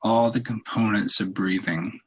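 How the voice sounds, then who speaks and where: A man leading a guided meditation in a calm, unhurried, halting way with long pauses and a husky voice. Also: lowish voice, poor audio. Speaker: meditation leader, podcast host. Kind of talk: guided meditation, softly spoken instruction.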